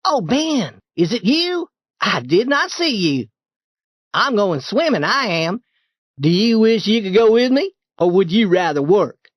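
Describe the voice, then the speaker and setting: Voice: mid-range voice, sing-song, unhurried, dry recording, studio quality, pitched above the performer's own voice. A storyteller voicing a clever, mischievous young boy who coaxes and persuades. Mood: sly. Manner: coaxing, persuasive.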